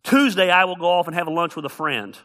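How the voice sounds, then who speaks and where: A man's voice: high voice, quick pace, bright, forceful tone. A middle-aged man preaching a sermon as pastor, emphatic and repeating key phrases for effect.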